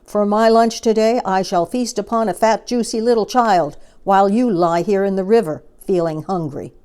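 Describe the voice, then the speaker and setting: A woman voicing a boastful, greedy, enormous male crocodile villain in a children's storybook, gloating with drawn-out relish. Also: medium pitch, mellow, clean tone, voice pushed up, close to an everyday voice.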